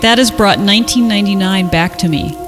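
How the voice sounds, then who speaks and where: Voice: medium pitch, fairly quick, over music. A person reading an amateur horror story aloud and joking along in an irreverent manner. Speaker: podcast reader.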